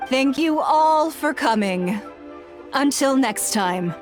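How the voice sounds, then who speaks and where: A woman's voice: sits high, lively intonation, faint music behind. A woman playing a poised, dignified noblewoman in a video game, with a stately and formal delivery. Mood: stately, composed. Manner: stately, measured. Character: poised adult noblewoman judge, dignified and composed.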